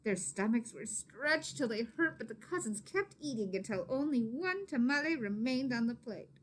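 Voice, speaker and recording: A woman reading a story aloud to children expressively, with dramatic emphasis and hushed asides to her listeners. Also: on the high side, animated, moderate pace, a little hiss or hum. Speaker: teacher or reader of a children's story.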